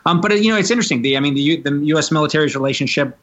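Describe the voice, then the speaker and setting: A man answering interview questions as a guest, thoughtful and measured, with many "you know" fillers. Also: a little high, a bit bright, muffled recording. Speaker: podcast guest, novelist and former Marine.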